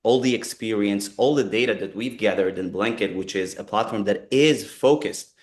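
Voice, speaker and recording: Brief: Israeli man, 40s, fairly low voice, earnest conversational webinar speaker who repeats himself. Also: normal speed. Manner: earnest, repeats words and restarts phrases. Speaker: company co-founder presenting a webinar.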